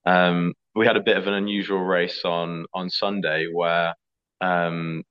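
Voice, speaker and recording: A young man, an athlete answering interview questions in a relaxed, chatty way. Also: deep voice, a little slow, clean tone. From England. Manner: relaxed, chatty, fillers like "like" and "sort of". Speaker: skeleton athlete. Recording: slightly dull lo-fi audio.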